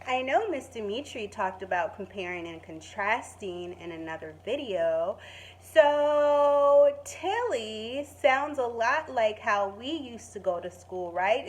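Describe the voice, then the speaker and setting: A woman teacher reading a children's story expressively, stretching words for emphasis and pausing to put questions to the listeners. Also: medium pitch, animated, rough recording. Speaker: primary school teacher reading to pupils. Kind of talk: expressive children's story read-aloud with asides.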